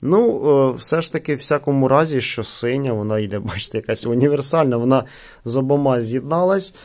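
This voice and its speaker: Gravelly man demonstrating gadgets. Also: medium pitch, a little fast, a little mellow. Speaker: gadget unboxing reviewer. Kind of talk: casual hands-on product demonstration. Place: indoors at a wooden table.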